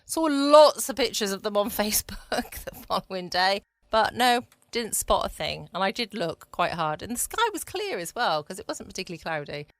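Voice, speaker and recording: A woman's voice: medium pitch, big pitch swings, normal speed, few pauses, no room sound. Dry, close-miked woman chatting. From United Kingdom. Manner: chatty, rambling, understated. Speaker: podcast host. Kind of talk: casual bedtime chat.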